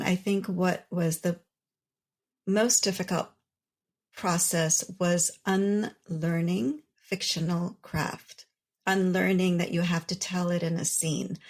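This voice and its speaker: Woman guest, native Urdu speaker, slightly low voice, unhurried and reflective. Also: a little mellow. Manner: thoughtful, measured, explanatory. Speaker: author and guest. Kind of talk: reflective interview answers.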